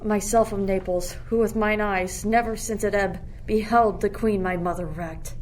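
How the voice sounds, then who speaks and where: A woman's voice: medium pitch, steady tone, normal speed, darkish tone, lightly acted, rough recording. A woman playing a lovestruck, defiant young shipwrecked royal in a Shakespearean radio play, earnest and declamatory. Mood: mournful, earnest. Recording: faint background noise.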